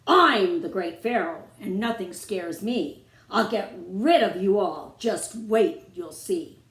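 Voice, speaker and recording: A woman's voice: mid-range voice, animated, slow pace. A woman voicing a boastful, stubborn, easily panicked male pharaoh in a children's storybook, with pompous exclamations. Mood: defiant, pompous. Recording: roomy, echoing room sound.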